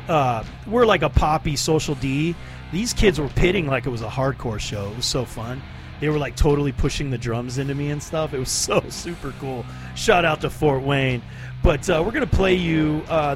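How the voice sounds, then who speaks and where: A man hosting a late-night radio show, chatting casually and rambling between songs with fillers and laughs. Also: medium pitch. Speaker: radio DJ host.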